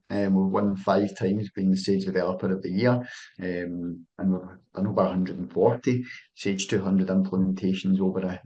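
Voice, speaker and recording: A young Scottish man presenting a business software webinar over a video call, friendly and full of "um"s and restarted phrases. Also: low voice, low-quality recording. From Scotland. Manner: filler-heavy, restarts phrases, friendly sales tone.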